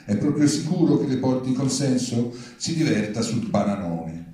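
A man reading his own satirical writing aloud at a live event, with a deadpan, mock-formal politeness. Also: mid-range voice, normal speed. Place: large reverberant venue at a live reading event. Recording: very echoey live public venue.

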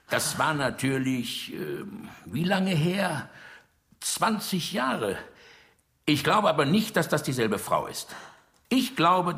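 A man's voice: mid-range voice, fairly bright tone. An actor playing an older, menacing dictator in a radio drama, mocking and commanding as he interrogates.

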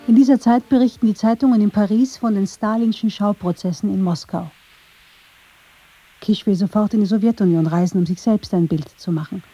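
A woman's voice: mid-range voice, fairly quick, mellow, breathy edge, muffled recording. A woman reading scripted narration for a radio documentary.

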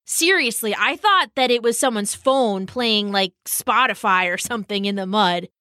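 A woman's voice: mid-range voice, normal speed, pushed and bright, no echo, studio quality, natural voice. A woman voicing an eager, insistent young schoolboy in a children's story, emphatic and pleading. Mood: excited.

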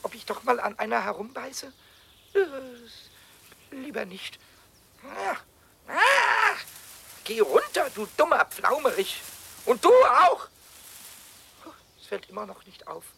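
A man playing a cheeky, childlike little kobold in a 1970s children's radio play, squeaky and excitable, stammering and rhyming. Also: takes it slowly, vocal fry, pauses often, breathy edge, exaggerated voice. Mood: grumbling, mischievous. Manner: stammering repeats, rhyming, excitable muttering and shrieking.

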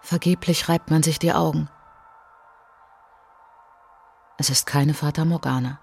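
A woman performing a solemn narrator in a literary radio drama, speaking in measured, dramatic short phrases. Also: low voice, steady tone, vocal fry, a little mellow, slightly rough, heavily acted. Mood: mournful. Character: solemn woman narrator recounting colonial atrocities as a traveller. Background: prominent music behind the voice.

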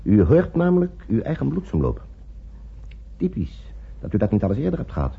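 An actor playing a measured, guarded male physician in a radio drama, speaking deliberately. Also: fairly low pitch, huge pitch swings, vocal fry, slightly rough, full character voice. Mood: clinical, measured. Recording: muffled old radio recording.

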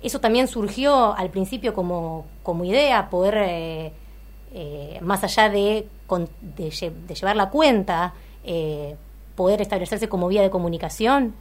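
A woman's voice: medium pitch, measured pace. A woman with an Argentine accent interviewing on the radio in hesitant, broken phrases. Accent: Argentine, Rioplatense.

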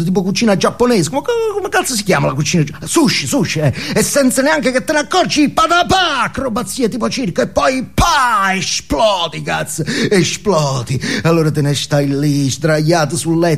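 A man plays a manic drug dealer holding forth in a comic theatre monologue. He is crude and boastful, swinging from confiding mutters to shouts. His voice is high for a man, gritty, with huge swoops in pitch. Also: vocal fry. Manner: rambling, foul-mouthed, jumping between shouting and confiding.

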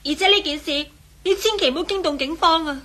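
A woman's voice: very high voice, fast, pushed and bright. A woman playing a frightened, secretive young lady in a radio drama, pleading and defensive in Hong Kong Cantonese. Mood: evasive, pleading.

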